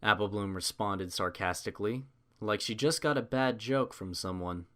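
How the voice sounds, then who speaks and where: A man narrating a fan fiction story in an animated storytelling style. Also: lowish voice, normal speed.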